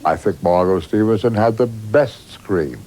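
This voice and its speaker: Veteran male announcer in his seventies or older: warm, deep-leaning tone, slight noise. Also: a little slow.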